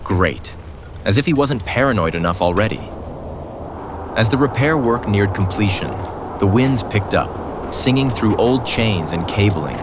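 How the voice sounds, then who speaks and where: A man's voice: lowish voice, expressive, a little slow, background noise. A man narrating a scripted audio drama as a dramatic storyteller.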